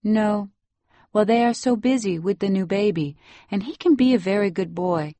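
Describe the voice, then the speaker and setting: A woman's voice: mid-range voice, moderate pace, dry recording, pitched above the performer's own voice, natural voice. A woman narrator voicing a patient, apologetic nanny in her forties with a Salvadoran accent, speaking hesitantly in an audiobook. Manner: soft-spoken little breaths, hesitant.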